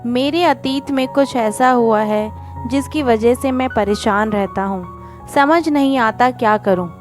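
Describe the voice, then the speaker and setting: Troubled college boy read by a female storyteller, polite, hesitant, higher-pitched and slow. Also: over music. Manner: hesitant, deferential.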